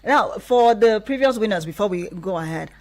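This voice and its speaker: Nigerian lady radio host, mid pitch, encouraging, asking guests questions. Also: animated, normal speed, a little mellow. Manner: encouraging, frequent verbal backchannels. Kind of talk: live radio interview.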